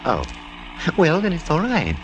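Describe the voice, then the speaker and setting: A man's voice: wildly swooping pitch, measured pace, highs cut off, music in the background. An actor playing a fussy, droll male sidekick, prim and arch, in theatrical 1940s radio style. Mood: dryly worried. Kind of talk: 1940s radio detective acting. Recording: noisy old radio recording, car rumble.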